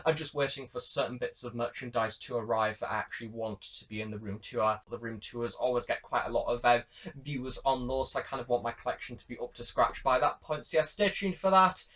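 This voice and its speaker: A man's voice: medium pitch, brisk, a bit bright. Young British man presenting a collection. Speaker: collector and reviewer presenting the vlog. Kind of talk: informal collection showcase to viewers.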